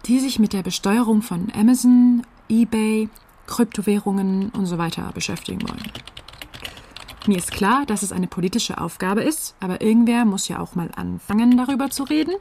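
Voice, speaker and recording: A woman's voice: medium pitch, normal speed, vocal fry, dark tone, slight background noise, close to an everyday voice. An actress playing an earnest, eager female tax office worker who narrates and makes calls in a radio drama.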